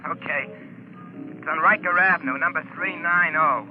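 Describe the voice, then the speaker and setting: An actor playing a cornered, fearful American working man, rough and slangy, through a telephone filter. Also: on the high side, moderate pace, soft background music. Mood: wary, hopeful. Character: cornered, fearful working-class reformed male safecracker. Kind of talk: 1940s radio acting. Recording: tinny phone line, noisy old radio.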